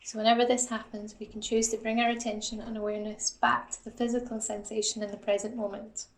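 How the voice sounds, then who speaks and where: A woman leads a guided body scan meditation in a fairly high voice with a flat, steady melody. Her delivery is calm and gentle, with noticeable creak, and she gives each instruction softly. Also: quick pace, echoey room. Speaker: assistant psychologist guiding a meditation.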